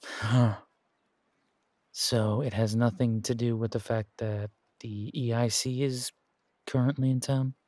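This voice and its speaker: A man playing a menacing, wry pirate captain in a roleplay audio, speaking in a taunting, deliberate drawl with dramatic pauses. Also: medium pitch, level tone, darkish tone, no room sound, natural voice. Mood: stern, probing. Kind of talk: scripted roleplay audio acting.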